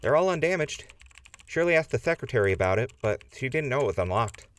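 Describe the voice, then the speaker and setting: A man's voice: medium pitch, big pitch swings, fast, natural voice. A man acting a hesitant, plain-spoken small-town lawman in a post-apocalyptic audio drama. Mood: reporting. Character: wary, folksy small-town lawman, easily cowed.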